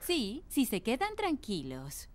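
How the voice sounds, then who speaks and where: A woman voicing a gentle but firm, busy mother pig in a children's cartoon dub. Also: on the high side, huge pitch swings, slow, slight background noise, heavily acted. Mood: gently firm.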